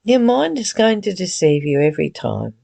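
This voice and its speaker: A woman giving a reflective spiritual reading and teaching in an earnest, deliberate, gently exhorting way. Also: on the low side, sing-song, vocal fry. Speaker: reader and spiritual study teacher. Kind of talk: read-aloud spiritual text with reflective, informal commentary.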